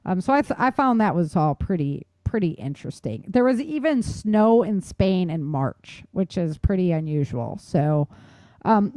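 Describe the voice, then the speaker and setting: A woman's voice: lowish voice, warm tone, dry recording. A woman co-hosting a talk show, giving informal news commentary in an opinionated, emphatic, conversational way.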